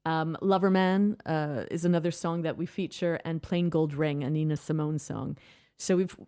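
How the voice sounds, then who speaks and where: A woman's voice: sits low, steady tone, normal speed, dark tone, smooth. A woman from Canada with a Canadian accent, a theatre director explaining her production in a radio studio interview with enthusiasm and long, flowing answers.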